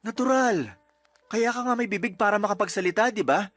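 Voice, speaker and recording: A young boyfriend in a radio drama, exasperated with his fiancée. His voice sits high for a man and he argues with emphasis. Light music plays behind him. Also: animated, a little fast, a bit bright, dry recording. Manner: argumentative, emphatic. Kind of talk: Tagalog radio drama acting.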